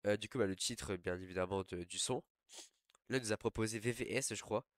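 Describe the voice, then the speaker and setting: A man's voice: fairly low pitch, brisk, dry recording, clean studio sound. A young man with a cold talking through a casual, rambling screen tutorial, full of fillers. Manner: relaxed, rambling with many fillers. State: stuffy from a cold. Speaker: tutorial host.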